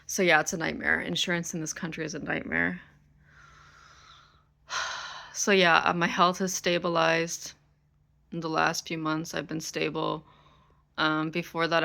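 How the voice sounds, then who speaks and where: Woman, vlogger, stressed, exasperated, gravelly, smooth. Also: low voice, bright, forceful tone. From United States. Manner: frustrated, swearing, exasperated. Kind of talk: ranting to camera.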